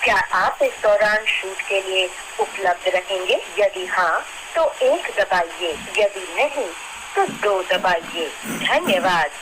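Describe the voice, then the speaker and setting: A woman's voice: medium pitch, noisy background. A woman with an Indian accent reading formal scripted instructions as a phone line announcer, on a played-back call recording.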